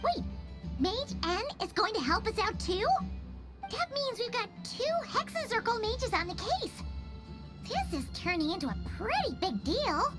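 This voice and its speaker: A woman voicing a small, childlike, chatty fairy sidekick in a video game, squeaky, perky and frank. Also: very animated, unhurried, loud music behind the voice, full character voice. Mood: perky, curious.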